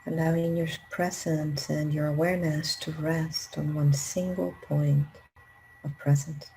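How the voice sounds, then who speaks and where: A woman leading a guided meditation in a calm, gentle, unhurried manner with long pauses. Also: deep voice, slight background noise, low-quality recording. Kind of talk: guided meditation, slow spoken instructions.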